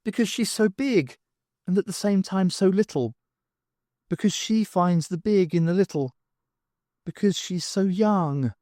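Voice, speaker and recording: A man's voice: high voice, unhurried, long pauses, mellow, dry recording, clean studio sound, pitched above the performer's own voice. A man voicing an adorable, lovestruck little dwarf in an audiobook, earnest and gushing. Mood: lovestruck, bashful.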